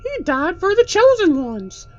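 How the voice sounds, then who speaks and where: A man voicing a menacing, gleefully preachy puppet from a children's show, talking in a slow, smug drawl. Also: very high-pitched, sing-song, highs cut off, faint music behind, pitched above the performer's own voice, heavily acted. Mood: smug menace.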